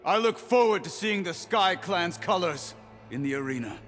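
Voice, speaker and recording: Proud, steadfast warrior marshal over music. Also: male voice, sits high, animated, moderate pace, fairly bright tone. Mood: stern, commanding. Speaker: video game character. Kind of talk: dramatic game dialogue.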